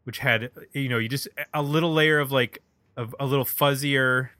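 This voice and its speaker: A man's voice: mid-range voice, fairly even intonation, normal speed, pushed and bright, dry recording. A man talking casually and analytically about music as a podcast host, feeling his way through his phrasing. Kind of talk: casual album discussion.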